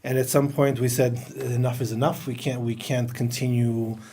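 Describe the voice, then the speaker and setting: A middle-aged man who grew up in Greece, a podcast guest telling anecdotes in a relaxed interview chat. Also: mid-range voice, moderate pace, breathy edge. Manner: storytelling, anecdotal, laughs while talking.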